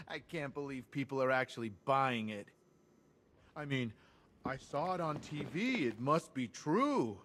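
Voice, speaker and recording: An actor playing a joking, sardonic police officer in a video game, teasing and incredulous. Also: male voice, medium pitch, lively intonation, takes it slowly, pauses often, fairly bright tone, slight background noise. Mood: amused.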